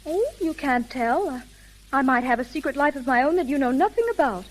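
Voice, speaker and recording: A woman's voice: high-pitched, expressive, fairly quick. An actress playing a poised, coy young woman in a 1930s radio drama. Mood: troubled, hesitant. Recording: hissy, crackling 1930s radio recording.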